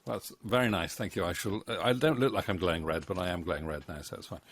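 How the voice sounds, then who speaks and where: A man's voice: sits low, quick pace, a bit bright. A middle-aged British man chatting casually and hesitantly, with a modest, self-deprecating manner, into a close microphone at home. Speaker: audio drama writer and director. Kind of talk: casual interview chat. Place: home room with bookshelves. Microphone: studio mic on boom arm, close.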